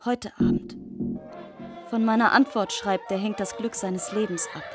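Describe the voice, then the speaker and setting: An actress playing a proud, earnest young gentlewoman, speaking formally in a literary radio drama. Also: medium pitch, fairly even intonation, a little slow, loud music behind the voice, lightly acted.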